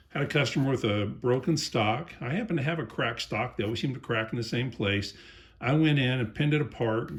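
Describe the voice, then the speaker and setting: Tired low-voiced man, plain-spoken. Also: slight background noise. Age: middle-aged. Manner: plain-spoken, rambling, many ums and uhs. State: tired after a long day. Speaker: small airgun parts maker. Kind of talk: casual sales talk to camera.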